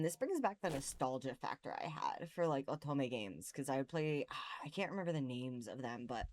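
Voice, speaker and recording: A woman's voice: rumbling low, no room sound. A young adult woman giving casual, gushing commentary while she plays a visual novel and reads from it. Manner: excitable, gushing, stumbles while reading. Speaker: streamer playing a visual novel. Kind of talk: casual gaming commentary mixed with reading game text aloud. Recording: music playing behind the voice.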